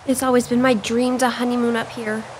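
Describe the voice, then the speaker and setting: A woman's voice: a little high, flat delivery, brisk, darkish tone, a little hiss or hum, close to an everyday voice. A woman playing a spirited, dreamy young bride in a Victorian audio drama, airy and theatrical. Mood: happy.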